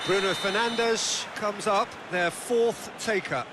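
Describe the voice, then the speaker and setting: A man's voice: sits high, slow. A man doing lead play-by-play for a football video game, speaking in short dramatic phrases. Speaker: football match lead commentator. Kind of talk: scripted video game sports commentary. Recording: commentary under very loud stadium crowd noise. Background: loud football stadium crowd.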